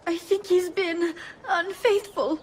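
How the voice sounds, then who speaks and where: An actress playing an aggrieved teenage sister in a game cutscene. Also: female voice, very high-pitched, fairly even intonation, slow, a little hiss or hum. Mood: hurt. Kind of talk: video game cutscene acting.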